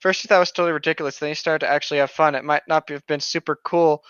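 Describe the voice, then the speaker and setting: A bright, forceful voice reading a story aloud with casual comedic asides. The co-host is mocking and sweary, on a dry, slightly muffled lo-fi mic. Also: medium pitch, steady tone, very fast, clear, resonant tone, dry recording.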